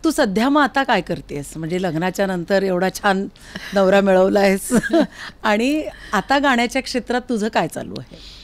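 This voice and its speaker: A woman's voice: medium pitch, expressive, moderate pace. A woman hosting a casual interview, chatting in an encouraging, exclaiming way. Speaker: interviewer, host.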